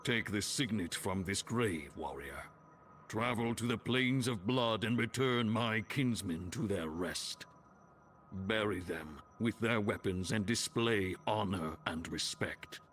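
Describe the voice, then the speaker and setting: A man's voice: on the low side, takes it slowly, fairly bright tone, slight background noise. A man voicing a stern, proud ancient chieftain's spirit in a fantasy game, speaking in grave, formal oratory. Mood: solemn, instructing. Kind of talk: scripted fantasy game voice acting.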